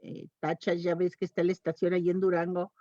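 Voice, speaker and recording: A woman's voice: lowish voice, monotone, a little fast, dark tone, no echo, dull audio. A woman chatting casually as a panelist in a round-table talk. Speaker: panelist talking about reading habits.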